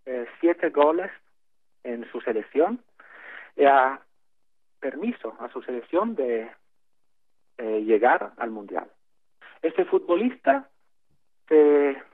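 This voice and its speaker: Slow, halting man on a phone line. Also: fairly high pitch. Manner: halting, many fillers, slow and deliberate. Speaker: interview guest on phone. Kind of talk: phone interview, explaining at length.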